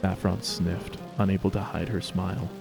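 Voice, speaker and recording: A man's voice: low-pitched, flat delivery, moderate pace, creaky, over music. A man narrating a story as a scripted dramatic reading.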